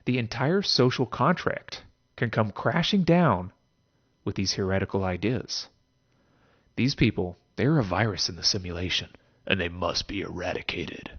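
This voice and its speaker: A man's voice: on the low side, lively intonation, moderate pace, slightly rough. A man hosting an explanatory philosophy monologue in a conversational way, with theatrical emphasis and rhetorical check-in questions. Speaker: host of a philosophy talk series. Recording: dull lo-fi sound. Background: music.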